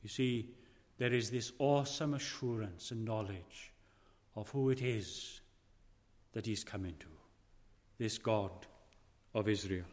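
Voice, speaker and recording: A man preaching a sermon, slow and weighty, his voice dropping low and quiet for emphasis. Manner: slow, weighty preaching with dramatic drops in volume. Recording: long hall reverb.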